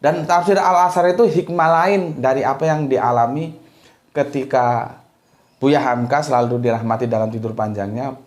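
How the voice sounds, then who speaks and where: A man's voice: medium pitch, steady tone, moderate pace, a little mellow. A man from Sumatra giving an informal religious lecture, talking loosely and tagging many sentences with a short "ya". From Sumatra, Indonesia. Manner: loose, conversational, with frequent "ya" tags and restarts. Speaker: religious teacher.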